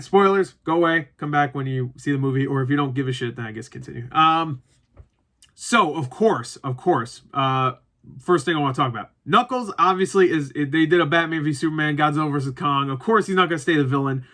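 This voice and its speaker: A man with a bright, punchy voice pitched a little high talks casually as a reviewer. He rambles through his opinions without a script, doubles back to correct himself and swears freely. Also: brisk. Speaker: movie reviewer. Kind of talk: casual unscripted review chat to viewers.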